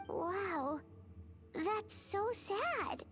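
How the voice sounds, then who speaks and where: A tiny floating fairy sidekick in a video game. The voice is extremely high, squeaky and childlike, swinging widely in pitch at a slow pace. The character sounds excitable and soft-hearted. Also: female voice, over music, heavily acted. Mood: sympathetic.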